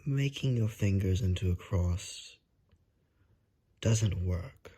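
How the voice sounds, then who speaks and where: A man playing a suave, predatory vampire in an ASMR roleplay, speaking in a breathy half-whisper with a courtly, coaxing manner. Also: sits low, mellow. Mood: soothing, amused. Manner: courtly, drawn-out, seductive menace.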